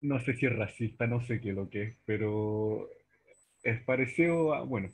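A man's voice: on the low side, a little fast, husky. A Chilean man with Spanish as his first language, chatting and laughing in an online English class, with a headset mic. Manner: chatty, laughing, hesitant with fillers. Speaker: student in an English conversation class. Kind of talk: casual chat in a video-call class, switching to Spanish.

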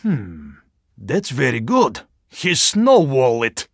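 Gravelly, earnest Russian giant. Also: male voice, mid-range voice, huge pitch swings, slow pace, no echo, clean studio sound, heavily acted. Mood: earnest, dry. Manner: formal, stilted phrasing with dropped articles. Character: huge Russian yeti super-agent, earnest, courteous, scientific-minded. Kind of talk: video game character voice lines.

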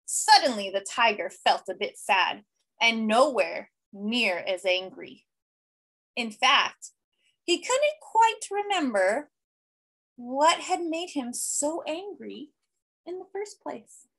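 A woman in her thirties reading a children's picture book aloud expressively, with deliberate, simple phrasing and emphasis. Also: a little high, very animated, a bit bright. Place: small indoor room.

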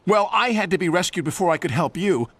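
A man's voice: high-pitched, lively intonation, fast talker, a bit bright, a little hiss or hum, voice pushed up. A man voicing a bossy, grumpy, scornful crane in a children's story, with a complaining, imperious manner. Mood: grudging, haughty.

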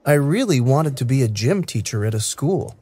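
Brief: male reader for learner audio, careful diction, lively intonation, dry booth sound. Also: medium pitch, moderate pace, dry recording. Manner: clear, careful, evenly paced delivery. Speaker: English listening practice reader. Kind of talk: slow scripted reading for learners.

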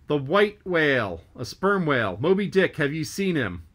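A man reading an audiobook, voicing a jovial, joking male whaling mate who hails and teases loudly. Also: a little high, very animated, normal speed. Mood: hailing. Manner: bantering, teasing, hailing loudly.

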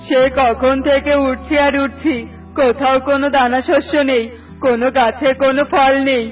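নারীকণ্ঠে অলস, ঘুমকাতুরে পাখি-স্বামী, চড়া গলা. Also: female voice, high voice, steady tone, normal speed, soft background music, natural voice. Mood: drowsy, grumbling. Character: lazy, sleepy, henpecked male bird husband. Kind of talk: Bengali children's cartoon dialogue.

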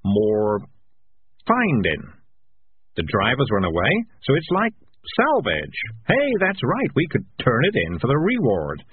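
Guy doing a scheming storybook tomcat, mock cheerful, swoopy pitch, slightly rough muffled audio. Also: huge pitch swings, measured pace, exaggerated voice. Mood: wheedling. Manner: wheedling, mock-cheerful, chatty. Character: sly, glib talking tomcat, cajoling schemer.

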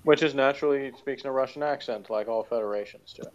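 A man's voice: mid-range voice, quick pace, highs cut off. A middle-aged man co-hosting a casual chat from his home office, curious and full of questions, laughing easily. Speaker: podcast co-host.

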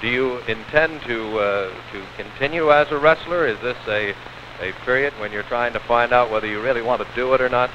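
Male American TV sports interviewer, 1950s, middle-aged, deliberate delivery, muffled hissy recording. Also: medium pitch. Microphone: handheld mic. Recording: dull, hissy old 16mm film sound.

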